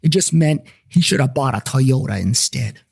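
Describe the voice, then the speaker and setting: A man's voice: mid-range voice, expressive, normal speed, creaky, a little mellow, breathy edge, dry recording, studio quality. A man telling a scripted comic story in the first person, with wry, irreverent asides to the listener. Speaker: storyteller narrator and host of a paranormal investigations series. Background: plucked guitar music.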